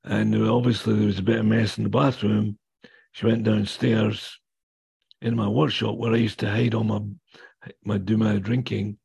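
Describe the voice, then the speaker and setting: Raspy, gravelly older man. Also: fairly low pitch, level tone, normal speed, a little mellow. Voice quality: raspy voice from damaged vocal cords. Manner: plainspoken, blunt, mild swearing. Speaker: guest speaker sharing recovery story. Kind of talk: personal testimony talk.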